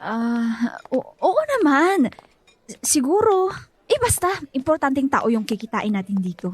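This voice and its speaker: A woman's voice: high voice, sing-song, a little slow, a little mellow, slightly rough, heavily acted. A woman acting a pleading young peacemaker in a Filipino radio drama, conciliatory in manner. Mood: coaxing, hesitant. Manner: coaxing, conciliatory, hesitant.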